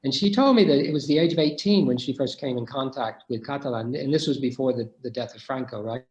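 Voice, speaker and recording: A man's voice: mid-range voice, quick pace, darkish tone, reverberant, muffled recording. A middle-aged man answering questions in an academic discussion, thoughtful and full of restarts. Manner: thoughtful, many restarts and hesitations. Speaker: guest speaker.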